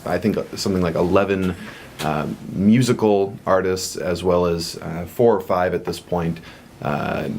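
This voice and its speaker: A young man, the director of a performance troupe, answering radio interview questions earnestly with many pauses and fillers. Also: sits low, slight background noise.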